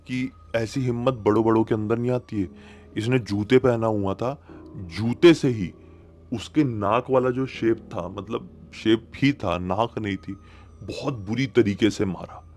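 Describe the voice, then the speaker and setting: A man's voice: on the low side, a little slow, darkish tone. A man narrating a horror story in a conversational, suspenseful way, repeating phrases for emphasis. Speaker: horror storyteller, channel host. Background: music.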